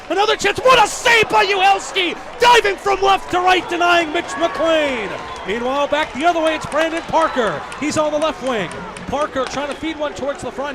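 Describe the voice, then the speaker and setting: A man doing live radio play-by-play of hockey, calling the action quickly and excitably. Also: extremely high pitch, heavy background noise. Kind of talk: live radio sports commentary. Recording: arena broadcast with music and crowd behind. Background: arena crowd and music.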